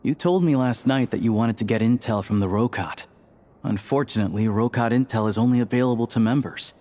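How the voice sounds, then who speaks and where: An actor playing a calm adult man in a game who recruits someone into a secret group, speaking in a measured, reassuring way. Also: medium pitch, a little hiss or hum, natural voice. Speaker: game character. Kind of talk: game voice acting.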